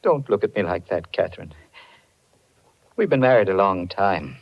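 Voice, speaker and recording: A man's voice: low voice, expressive, normal speed, gravelly, heavily acted. An actor playing an anxious, desperate married man with a guilty secret, in earnest, dramatic 1940s radio style. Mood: ashamed, desperate. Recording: dull, band-limited 1940s radio recording.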